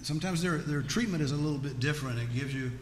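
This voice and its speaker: A man's voice: fairly high pitch, fast. Man teaching in an echoey hall. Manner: folksy, joking asides. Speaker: Bible teacher. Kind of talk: religious teaching with audience questions. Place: large echoey room with an audience. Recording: echoey hall with background noise.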